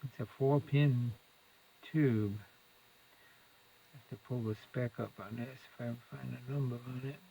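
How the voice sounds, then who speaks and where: A man's voice: medium pitch, steady tone, a little slow, vocal fry, long pauses, warm tone, a little hiss or hum, low-quality recording. A man giving unscripted hands-on repair commentary, muttering and thinking aloud in short fragments as he works. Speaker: electronics repair hobbyist, presenter.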